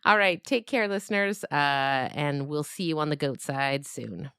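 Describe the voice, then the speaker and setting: A woman's voice: low-pitched, measured pace, a bit bright, clear, resonant tone. A woman podcast creator chatting casually and informally, as if to friends. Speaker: podcast creator and host, being interviewed. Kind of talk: casual chat.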